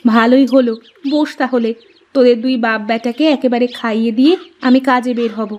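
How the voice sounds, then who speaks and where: A woman's voice: a little high, steady tone, normal speed, darkish tone, lo-fi sound, close to an everyday voice. A woman voicing a hard-working village housewife and mother in a cartoon, with a fondly scolding, complaining manner. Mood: caring. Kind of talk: cartoon dialogue acting.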